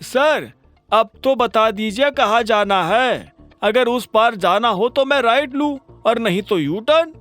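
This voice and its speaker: A man's voice: expressive, unhurried, a bit bright, soft background music, full character voice. A man voicing an eager, talkative male cab driver in an audio story. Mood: impatient. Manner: eager, talkative, pitched high.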